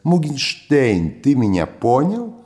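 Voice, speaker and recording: A man's voice: mid-range voice, huge pitch swings, slow, mellow, voice pushed up. An older man voicing a swaggering Odessa gangster boss who talks in a theatrical, mock-reasonable way. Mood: mock-reasoning.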